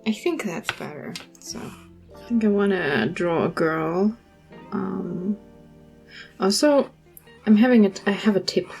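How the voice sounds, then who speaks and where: A woman hosting a draw-along at her art desk, chatting casually with lots of fillers, her voice a little hoarse. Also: medium pitch, a little slow, pauses often, faint music behind. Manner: relaxed, rambling, many fillers. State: slightly hoarse. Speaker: art channel host. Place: home art desk, indoors.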